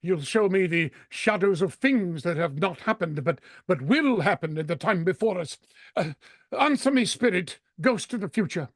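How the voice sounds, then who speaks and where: A repentant old man acted by a man in a radio play, with a high, animated voice. He stammers and pleads, fearful and earnest, in a dry close recording. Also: moderate pace, no room sound. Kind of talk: vintage radio drama acting.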